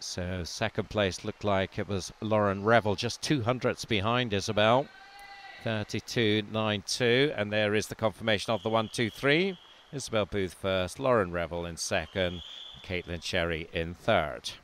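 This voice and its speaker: A man's voice: lowish voice, normal speed, slight background noise. Man calling a swimming meet. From not from Wales. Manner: calm, lists lanes and times, lifts his voice during close finishes. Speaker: swimming commentator. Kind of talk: live sports commentary.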